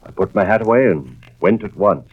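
A troubled insurance man narrates his worries in an old radio drama, played by a male actor. His low voice swings widely as he hesitates and broods. The recording is dull and vintage, with faint music behind. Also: lively intonation, fairly quick, a little hiss or hum, lightly acted. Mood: businesslike. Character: troubled insurance investigator husband, brooding and anxious. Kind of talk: 1940s radio drama acting, first-person narration.

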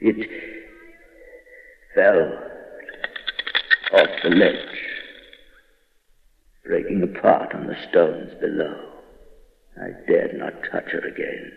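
An actor playing a lonely, tormented castle dweller in a horror radio monologue, speaking in a halting, haunted, theatrical manner with dramatic pauses. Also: male voice, low voice, vocal fry, full character voice. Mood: hushed, despairing. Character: lonely, tormented male castle dweller raised among bones and skeletons. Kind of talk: dramatic first-person horror monologue, old-time radio acting. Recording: dull old radio recording, long reverb.

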